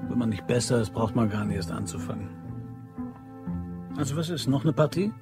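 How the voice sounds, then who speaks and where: A man's voice: mid-range voice, expressive, fairly quick, over loud music, lightly acted. An actor playing a cocky, laconic small-time tradesman in a German crime radio drama. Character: cocky, laconic small-shop locksmith and card hustler.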